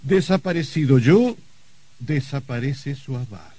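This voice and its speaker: A man's voice: medium pitch, animated, warm tone, husky, no echo. An actor playing a wry, confident rich man facing his own murder, in theatrical old radio drama style. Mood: wry, calm. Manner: theatrical, deliberate, sardonic. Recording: vintage radio drama recording.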